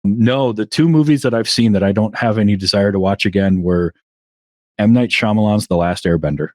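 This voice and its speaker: Podcast guest, male, low-pitched, loose rambling delivery, dry close mic. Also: brisk, darkish tone, dry recording. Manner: rambling, restarts often, chuckles at himself. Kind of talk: casual interview chat.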